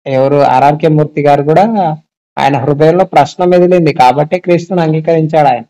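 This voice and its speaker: Male, fairly high and very mellow, casual explanatory phone talk with rhetorical questions. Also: a little fast, poor audio. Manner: explanatory, asks rhetorical check-back questions. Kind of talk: casual phone discussion of faith. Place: recorded phone call. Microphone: telephone.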